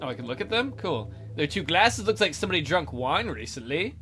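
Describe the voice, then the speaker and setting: A man's voice: on the high side, big pitch swings, brisk, music in the background. A man giving casual game commentary as a let's play host, full of wry, sarcastic asides.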